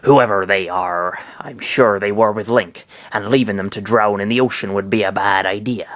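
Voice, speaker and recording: A man voicing a kindly male pirate in a slow, gruff, partly whispered voice. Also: on the low side, fairly even intonation, fairly bright tone, dry recording, lightly acted. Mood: concerned.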